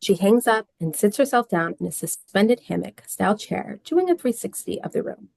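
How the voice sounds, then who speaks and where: Casting: female script narrator, mid-range with noticeable fry, little room sound. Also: mid-range voice, lively intonation, moderate pace, a little mellow, dry recording. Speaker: stage-direction narrator.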